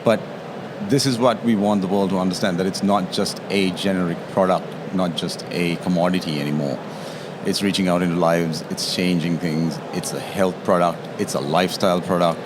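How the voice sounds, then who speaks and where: Sri man, very low voice, guest expert on a noisy show floor. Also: steady tone, moderate pace. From Sri Lanka. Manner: explanatory, tags statements with "yeah?". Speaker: tea taster and guest. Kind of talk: interview at a trade show.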